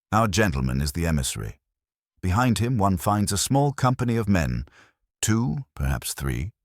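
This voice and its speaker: A man voicing a prim, shrewd older woman, genteel and dryly amused, with crisp, precise speech in a storybook reading. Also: low voice, dry recording, clean studio sound, exaggerated voice.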